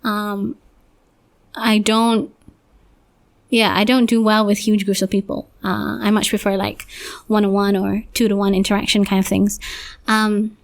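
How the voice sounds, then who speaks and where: A young woman hosting a solo podcast, slightly hoarse, talking in a rambling, reflective way with many restarts. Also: mid-range voice, flat delivery, measured pace, long pauses. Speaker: podcast host.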